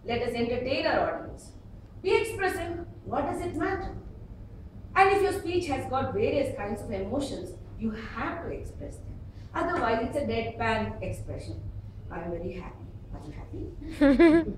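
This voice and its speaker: Woman, Indian accent, instructional club speech, lively intonation, emphatic and expressive, distant mic, faint noise. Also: sits high, moderate pace, heavy reverb. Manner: expressive, emphatic, with acted-out examples. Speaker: speaker at a public speaking club. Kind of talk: instructional speech to a live audience. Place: indoor meeting room before an audience.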